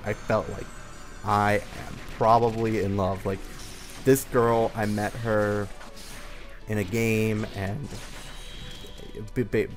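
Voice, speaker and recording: A young man telling a personal story in a casual, rambling, hesitant conversational way. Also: on the low side. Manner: informal, hesitant, conversational delivery. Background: background music from a game.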